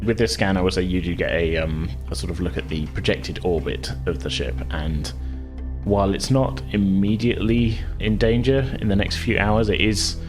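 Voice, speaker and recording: A man's voice: sits low, normal speed, music in the background. A man acting as game master, narrating a tabletop role-play game in a casual, informal way.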